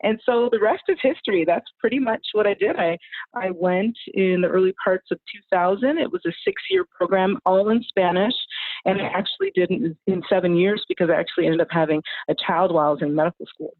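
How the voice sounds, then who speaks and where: A woman in her forties talks through her path as a podcast guest, rambling with fillers and laughing now and then. Her voice comes over a tinny, phone-like line with a dry, close sound. The pitch sits in an ordinary middle range. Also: brisk, no echo. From United States (California). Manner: warm-hearted rambling, laughs often, many fillers. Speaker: guest, family medicine physician. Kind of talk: podcast interview storytelling.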